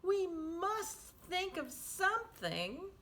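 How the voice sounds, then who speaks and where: A woman's voice: very high voice, sing-song, takes it slowly, pushed and bright, pitched above the performer's own voice, heavily acted. A woman voicing a small, brave, eager young male fish in a storybook reading. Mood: earnest, upbeat.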